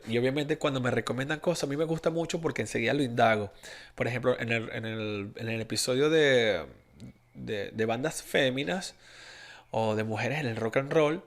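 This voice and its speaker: A young Venezuelan man with a Venezuelan Spanish accent hosting a podcast, talking in a rambling way with many restarts and fillers. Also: mid-range voice. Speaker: podcast host. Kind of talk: casual solo podcast monologue.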